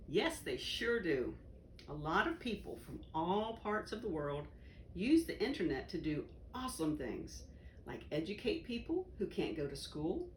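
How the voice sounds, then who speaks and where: Low-pitched, lively woman reading aloud. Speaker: volunteer story reader. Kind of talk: read-aloud of a children's educational book. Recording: roomy echo, faint background noise.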